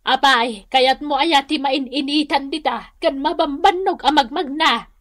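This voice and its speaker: A woman's voice: sits high, a little slow, fairly bright tone. A woman playing a blunt, complaining older mother in a radio comedy drama, scolding and exclamatory, with a Northern Luzon Filipino accent. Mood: nagging.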